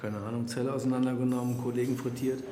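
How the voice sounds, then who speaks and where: A man's voice: medium pitch, flat delivery, quick pace, lightly acted. An actor playing a blunt, wary male prison officer with colloquial speech in a naturalistic radio drama. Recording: echoey hall with loud noise.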